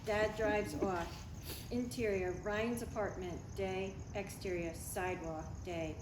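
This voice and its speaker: Woman narrating a script at a table read, mid pitch, roomy echo, noisy background. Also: steady tone, takes it slowly. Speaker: stage direction reader. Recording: echoey live room with clear background noise.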